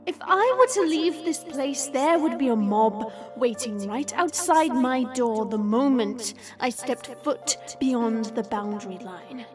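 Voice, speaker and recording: A woman's voice: high voice, animated, slow, few pauses, music in the background. A woman playing a sassy, teasing vampire with a sarcastic, playful drawl in an audio roleplay. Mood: scornful. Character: hidden female vampire, sassy, teasing, unafraid. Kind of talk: audio roleplay drama acting.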